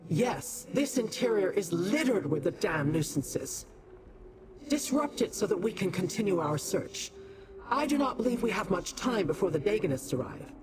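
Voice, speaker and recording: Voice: medium pitch, very animated, moderate pace, over music, lightly acted. An actor playing a haughty, imperious otherworldly immortal in a fantasy video game, formal and condescending toward mortals. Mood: imperious, impatient.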